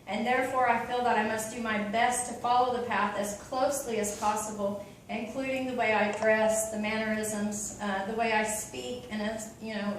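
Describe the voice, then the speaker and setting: A woman's voice: a little high, a bit bright, very echoey, recorded from a distance, slight background noise, rough recording. A woman from Oklahoma with an Oklahoma accent, talking in a chatty, open way with many ums and you-knows. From Oklahoma, USA. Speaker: convert giving a talk. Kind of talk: casual personal talk.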